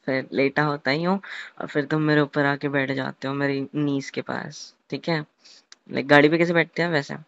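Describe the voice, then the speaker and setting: A young man in an intimate, casual phone chat, telling a romantic scenario haltingly, repeating words and mixing in English. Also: a little high, level tone, fairly bright tone, clear, resonant tone, dry recording. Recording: muffled lo-fi phone call recording.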